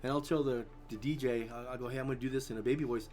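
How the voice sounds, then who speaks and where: A middle-aged man chats as a guest in an easygoing, rambling way. His voice is a little dry and worn from talking. Faint music plays softly behind him. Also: mid-range voice, fairly even intonation, very fast. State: voice drying up. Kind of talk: casual chat.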